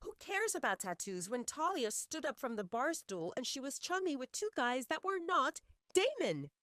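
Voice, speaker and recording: A woman's voice: high-pitched, sing-song, normal speed, fairly bright tone, dry recording, clean studio sound, voice pushed up. Woman acting a jealous, irritable werewolf alpha man. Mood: jealous outrage.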